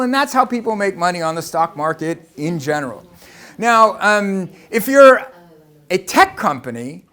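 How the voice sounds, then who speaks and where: Brief: American man, high-pitched, conversational lecturer asking rhetorical questions. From Los Angeles, United States. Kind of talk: presentation talk to an audience.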